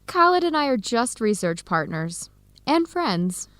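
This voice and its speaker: A friendly young American woman researcher in a radio drama. Her voice sits fairly high and swings freely in pitch, with an American accent, recorded dry and close. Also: slow pace, dry recording, exaggerated voice. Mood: warm, chatty. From United States. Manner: lively, expressive.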